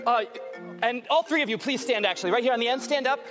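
A man's voice: very high-pitched, fast talker. Guy doing stage magic, bossy patter, music playing, crowd noise. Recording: live show with music and crowd.

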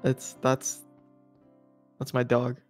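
A young man speaks casually while roleplaying in a game. His delivery is low-key and fairly monotone. There is quiet music behind him. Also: slow. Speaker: player in a school roleplay. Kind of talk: casual gaming roleplay chat.

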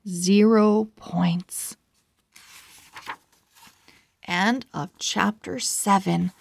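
A woman reading a story aloud for young children, with deliberate, measured phrasing. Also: fairly low pitch, creaky, no echo. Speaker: children's book read-aloud host.

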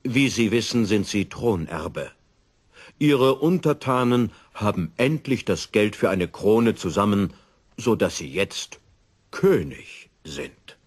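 A man voicing a dignified, cautious royal chancellor in a children's story, speaking formally and gravely. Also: fairly low pitch, animated, slow, darkish tone, heavily acted. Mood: solemn.

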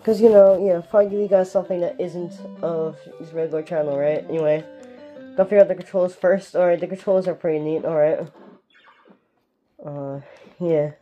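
A young man from Canada giving rambling, casual gameplay commentary with a sore, strained throat, recorded on a phone filming a TV in a dark room at home. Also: on the high side, steady tone, normal speed, muffled recording, soft background music. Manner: rambling, keeps asking "alright?". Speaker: gaming YouTuber.

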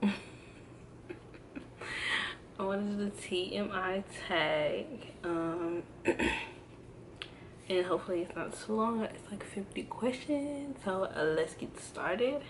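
Slow, easygoing young woman vlogger. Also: mid-range voice, noisy background. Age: 20s. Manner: relaxed, hesitant, many ums and restarts. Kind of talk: casual tag video chat. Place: indoors at home, in front of a backdrop. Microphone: camera framed close, seated.

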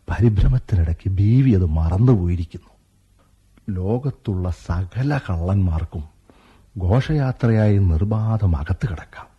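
A fussy fond husband in a radio play, slow and sing-song, mutters to himself. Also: male voice, low-pitched, lively intonation, slightly rough, dull audio, heavily acted. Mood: musing. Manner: talks to himself, mutters and fusses. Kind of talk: 1980s-style radio drama acting.

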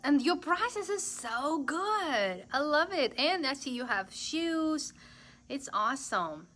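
A woman's voice: sits high, very animated, slow pace, a bit bright. A young woman with a Southern American accent, enthusiastically interviewing a shop owner on a phone camera in a large store. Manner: enthusiastic, gushing praise. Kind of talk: casual live store tour interview. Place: large indoor clothing boutique. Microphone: handheld phone camera.

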